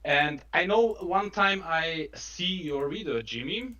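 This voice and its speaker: A man from Croatia with a Croatian accent, telling his story informally in halting, non-native English as a stream guest. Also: on the high side, animated, bright, forceful tone. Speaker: guest on a trading live stream.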